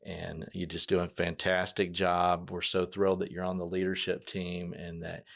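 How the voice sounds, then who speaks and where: A man hosting a casual podcast interview, speaking conversationally with frequent hesitant pauses. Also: low voice, monotone, creaky, fairly bright tone. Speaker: podcast host.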